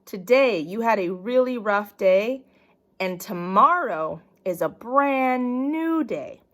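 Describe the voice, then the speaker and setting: A woman's voice: a little high, lively intonation, a bit bright. A woman reading aloud, voicing a schoolteacher who patiently reprimands a child. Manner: slow, drawn-out reprimands.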